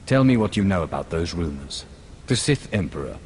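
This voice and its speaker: An actor playing a commanding, cold Sith lord in a video game, curt and authoritative. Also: male voice, low-pitched, big pitch swings, a little fast, a little mellow, a little hiss or hum. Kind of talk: video game cutscene acting.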